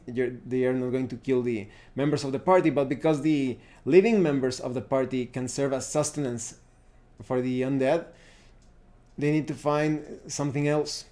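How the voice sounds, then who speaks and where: A man's voice: medium pitch, mellow. A man giving an unscripted explanation to viewers as a tabletop gaming host, thinking aloud and often restarting his phrases.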